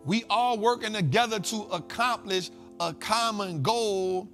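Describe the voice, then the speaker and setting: A man hosts a money talk show in loose, slangy conversation. His voice sits a little higher than most men's. He stacks repeated lines the way a preacher does. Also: unhurried, fairly bright tone, faint music behind. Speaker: host of a finance talk show. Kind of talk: casual motivational monologue.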